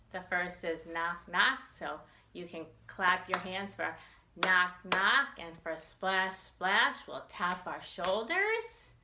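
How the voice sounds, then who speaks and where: Animated, slow-paced woman reading to children. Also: fairly low pitch, reverberant. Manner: sing-song, exaggerated, inviting children to join in. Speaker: children's storytime librarian. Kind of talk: animated children's storybook read-aloud.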